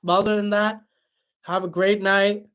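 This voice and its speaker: A young man hosting a livestream and answering chat in a relaxed, chatty way, his voice tired after hours of talking. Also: sits high, moderate pace, clear, resonant tone. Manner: relaxed, chatty, many fillers and restarts. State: voice tired after a long stream. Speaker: livestream host reading chat.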